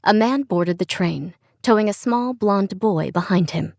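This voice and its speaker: A woman narrates literary fiction at a mid-range pitch. Her storytelling is measured and expressive, recorded very dry in a studio. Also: vocal fry, no echo. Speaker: audiobook narrator.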